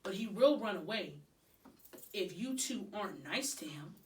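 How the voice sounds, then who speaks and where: A woman's voice: mid-range voice, expressive, measured pace, reverberant, recorded from a distance, lightly acted. An exasperated dad and school principal from a children's storybook, acted out by a woman. The delivery swoops expressively between pleading and firm authority, recorded a little away from the microphone. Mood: stern.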